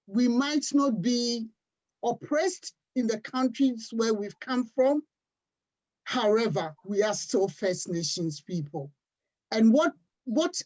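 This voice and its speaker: Earnest woman from Africa, mellow voice. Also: mid-range voice. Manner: earnest, deliberate, emphatic. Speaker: panelist. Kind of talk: panel discussion talk. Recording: dull lo-fi livestream audio.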